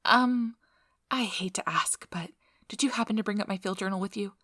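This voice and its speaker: A woman's voice: mid-range voice, normal speed, fairly bright tone, dry recording, clean studio sound, natural voice. A woman playing a nervous, eager researcher in an ASMR roleplay, rambling in a flustered, apologetic way. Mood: sheepish, apologetic. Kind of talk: ASMR roleplay audio drama.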